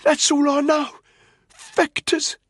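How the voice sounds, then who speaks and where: A man voicing a badly wounded, terrified prisoner in an audiobook thriller, breathy and cracking with pain. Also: very high-pitched, monotone, slow pace, a bit bright, no echo, clean studio sound, pitched above the performer's own voice, heavily acted. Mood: weak, pained.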